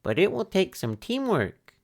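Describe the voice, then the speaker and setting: A man voicing an eager young boy turtle in a storybook, pitched higher than his own low voice. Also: huge pitch swings, unhurried, gravelly, full character voice. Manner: earnest, raised pitch.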